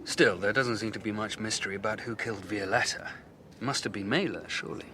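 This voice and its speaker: An actor playing a detective's inquisitive, matter-of-fact confidant in a radio drama, with a questioning manner. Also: male voice, fairly low pitch, fast talker, pushed and bright, a little hiss or hum. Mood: curious. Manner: questioning, to the point.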